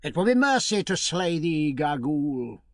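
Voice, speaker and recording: A man's voice: on the high side, sing-song, unhurried, no echo, studio quality. A man voicing a stern, proud king who speaks in a solemn, archaic, commanding way.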